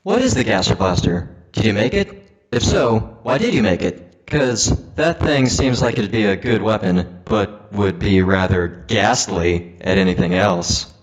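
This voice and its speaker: A man's voice: fairly low pitch, animated, unhurried, low-quality recording. A man reading out a scripted question as a guest asker.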